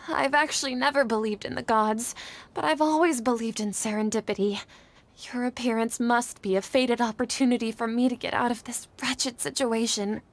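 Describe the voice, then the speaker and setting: A woman plays a high-voiced young female scholar in a game drama. She is anxious and weighed down by guilt, choosing formal, earnest words. Faint noise sits behind the dialogue. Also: steady tone, normal speed, lightly acted. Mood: earnest, resolved. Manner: earnest, troubled, formal wording. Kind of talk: dramatic video game voice acting.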